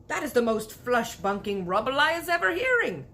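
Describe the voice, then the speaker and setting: A woman reader puts on a big, proud male giant from a storybook. He is excitable and easily indignant, swooping up and down as he stretches out his exclamations in muddled grammar. Some noise sits behind the voice. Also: a little high, moderate pace, pitched above the performer's own voice, exaggerated voice.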